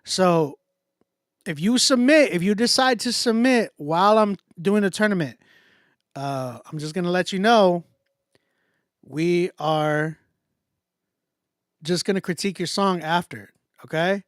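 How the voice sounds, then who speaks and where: A man's voice: sits high, unhurried, pauses often, no echo, studio quality. A man with an American accent hosting a music contest livestream in casual, slangy, repetitive hype talk.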